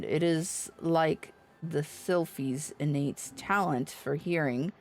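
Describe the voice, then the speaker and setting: Woman voicing a stern, measured fantasy guildmaster. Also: sits low, darkish tone. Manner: measured, solemn, archaic formal phrasing. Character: stern, formal guildmaster of healers, lecturing a pupil.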